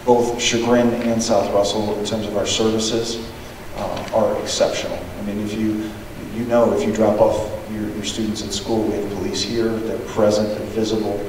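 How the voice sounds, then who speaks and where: A man, a school superintendent, answering residents' questions in a measured, diplomatic way, often restarting with repeated words. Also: lowish voice, flat delivery. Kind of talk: answering questions at a public meeting. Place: large meeting hall. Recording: echoey hall, distant mic, background noise.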